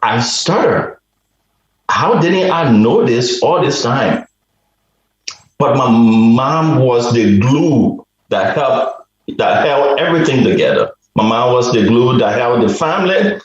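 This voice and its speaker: A man from Liberia, a guest on a radio interview, telling a personal story slowly and reflectively, often restarting his sentences. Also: mid-range voice, expressive, very echoey, muffled recording. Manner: slow, reflective, with repeated restarts.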